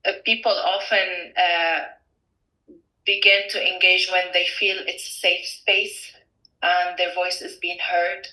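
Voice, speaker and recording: A woman giving a halting, considered interview answer as a community participant. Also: on the low side, little pitch movement, bright, forceful tone. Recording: echoey room, distant microphone.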